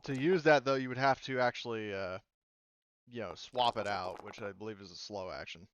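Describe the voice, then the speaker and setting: Clear-voiced man running a tabletop game. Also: lowish voice, moderate pace, no echo. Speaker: game master. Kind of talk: casual tabletop game narration.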